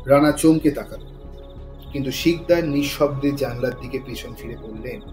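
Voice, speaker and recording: A man's voice: mid-range voice, moderate pace, a little mellow. A man reading dramatic scripted narration as the storyteller of an audio thriller. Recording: music under the voice.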